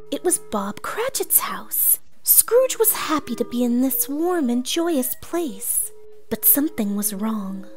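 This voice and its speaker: A woman playing an excitable storyteller, narrating a storybook read-along with theatrical drama. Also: sits high. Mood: hushed, grave. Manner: theatrical, hushed then exclaiming.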